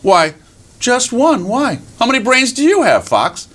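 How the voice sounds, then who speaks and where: A man's voice: very high voice, wildly swooping pitch, measured pace, a bit bright, voice pushed up, exaggerated voice. A man voicing a tiny, cunning mouse in a folktale, with coaxing, mock-innocent questions. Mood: coaxing, sly. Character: tiny, cunning male or female mouse, drily clever. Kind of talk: animated live folktale storytelling.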